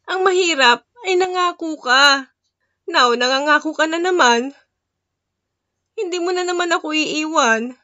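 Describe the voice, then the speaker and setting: Narrator as wounded young female lover, reproachful, high emotional voice, romance audio drama, dry and a bit muffled. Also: sharp tone, no room sound, exaggerated voice. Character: hurt, distrustful young woman in love.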